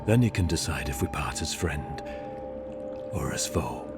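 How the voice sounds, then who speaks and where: A very low-pitched male assassin from a video game, devout and repentant. He speaks like a grave orator, earnest and formal, with music clearly playing behind him. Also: animated, a little slow, full character voice. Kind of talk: dramatic video game dialogue.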